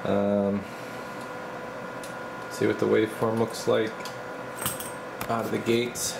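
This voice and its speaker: A man's voice: fairly low pitch, flat delivery, slow pace, gravelly, noisy background. A man working as a radio repair technician, casually thinking aloud through a hands-on bench demonstration in informal, muttered steps. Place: indoor electronics repair workbench.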